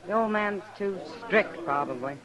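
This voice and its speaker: An American saloon woman played by an actress, frank and observant. Her delivery is direct and wry, moving freely up and down in pitch. It is an old radio western recording with crowd sound around her. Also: lively intonation, moderate pace, lightly acted. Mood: concerned, frank.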